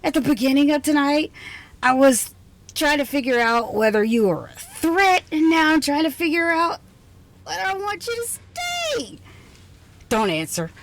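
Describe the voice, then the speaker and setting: A woman playing an exhausted, prickly scientist with a soft side in an intimate ASMR roleplay, halting and teasing, often slipping into a whisper. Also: high-pitched, sing-song, a little hiss or hum. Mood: hesitant, tender. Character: exhausted, prickly, passionate female scientist, secretly softening toward intruder. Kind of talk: ASMR roleplay, intimate one-sided dialogue.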